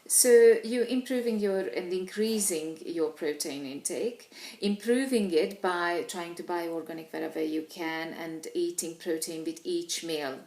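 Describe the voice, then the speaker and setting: A woman's voice: lowish voice, measured pace, darkish tone. A woman coach whose first language is Hungarian, giving informal nutrition advice to camera with many fillers and restarts. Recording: echoey room.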